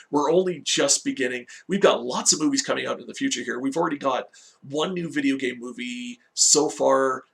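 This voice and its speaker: Give the voice of a man hosting a casual online review. His tone is bright and forceful, with heavy vocal fry running through it. He addresses viewers directly and informally, like a regular presenter. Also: mid-range voice, low-quality recording. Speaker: host of a video game movie review series. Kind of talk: casual scripted-outline review, talking to viewers.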